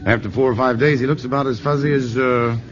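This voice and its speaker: An actor playing a shy, self-deprecating scientist in a 1950s radio drama. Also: male voice, mid-range voice, fairly even intonation, quick pace, natural voice. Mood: modest, easygoing. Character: modest, bashful male physicist. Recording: muffled vintage radio broadcast.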